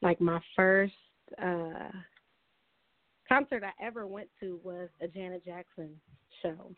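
A woman's voice: fairly low pitch, slow pace, dry recording. Woman singer on a tinny phone line. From California. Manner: relaxed, laughs often, tells stories. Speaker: guest singer. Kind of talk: casual interview chat. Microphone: phone call.

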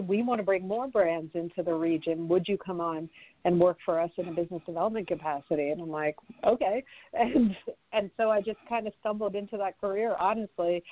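Chatty woman interview guest, first language French, lowish voice, phone-quality audio. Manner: chatty, laughs easily, many fillers. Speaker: podcast guest, fashion founder. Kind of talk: conversational interview.

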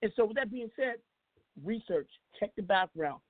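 A man in his sixties hosting an internet radio talk show, telling stories in an animated, emphatic way. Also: high-pitched, moderate pace. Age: 60s. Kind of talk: casual talk radio chat. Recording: dry, phone-like call audio.

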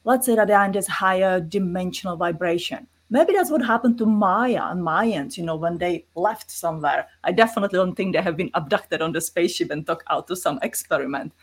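A woman's voice: on the low side, lively intonation, brisk, few pauses, slightly rough. A middle-aged woman with a Czech accent, earnestly explaining spiritual experiences as an interview guest in non-native English.

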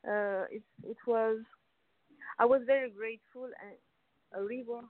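A young woman from France with a French accent, a violinist guest on a phone interview, speaking English haltingly and searching for words. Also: on the high side, steady tone, dry recording. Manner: hesitant, searching for words, many restarts. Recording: tinny phone line, dry.

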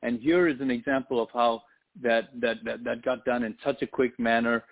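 A man's voice: steady tone, normal speed. A man from Zambia co-hosting a live radio talk show, speaking emphatically and repeating words as he builds his points. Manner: emphatic, repeats words, breaks into high mimicry. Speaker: radio co-host. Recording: tinny phone-like line.

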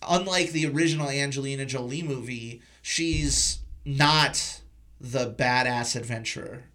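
Bright-voiced man chatting as podcast host. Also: medium pitch, a little slow. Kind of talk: casual movie review chat.

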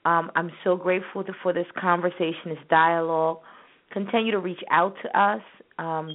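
A woman speaking earnestly and encouragingly as a regular guest on a talk show, over a phone line. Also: deep voice. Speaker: spoken word artist and producer, show regular. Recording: thin phone-line audio.

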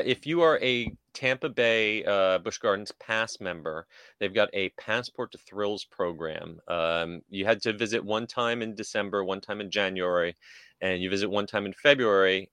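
A man co-hosting a podcast, going through theme park news informally, with a somewhat halting delivery. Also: deep voice, a bit bright, clean tone, dry recording. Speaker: podcast co-host.

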